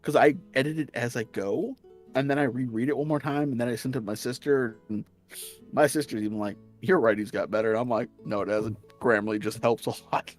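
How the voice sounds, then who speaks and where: A man's voice: mid-range voice, fairly even intonation, fairly quick, faint music behind. A man with a slight Southern accent, chatting casually as a stream guest and often restarting his sentences. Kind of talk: casual chat.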